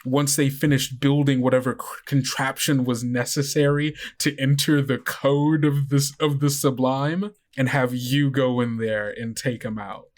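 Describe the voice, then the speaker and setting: A man's voice: medium pitch, flat delivery, a little slow, hardly any pauses. A man running a tabletop role-playing game, narrating the world and explaining the rules to players. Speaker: game master of an actual-play podcast.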